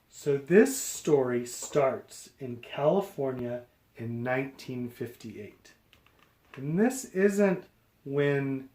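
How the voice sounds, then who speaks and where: A man reading a picture book aloud to young children, explaining deliberately phrase by phrase. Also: mid-range voice, a little mellow, echoey room.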